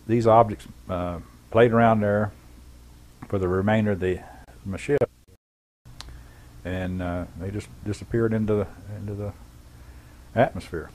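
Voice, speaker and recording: Low-voiced man, halting and plain-spoken. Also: a little mellow, poor audio. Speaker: former air traffic controller giving an eyewitness account. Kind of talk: unscripted first-person recollection.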